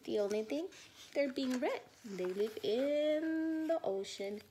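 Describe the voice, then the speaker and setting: A woman teacher reading a children's storybook aloud, expressive and questioning, pausing to think out loud. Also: high voice, slight background noise.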